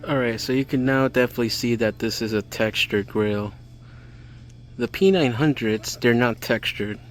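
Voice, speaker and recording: A man's voice: mid-range voice, moderate pace. Casual man narrating a tour, faint music behind. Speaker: vlogger giving a store tour. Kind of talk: casual, unscripted video tour commentary. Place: inside retail stores, later outside. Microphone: handheld camera. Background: faint store music.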